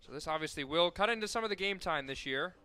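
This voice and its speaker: A young man calls a college softball game on radio with a bright, crisp, forceful voice. He slips and corrects himself as he goes and climbs high and loud when a ball is hit deep. Faint music plays underneath. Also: animated, fast. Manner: rises sharply and loud on big plays, corrects himself often. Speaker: play-by-play announcer. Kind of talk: radio play-by-play commentary.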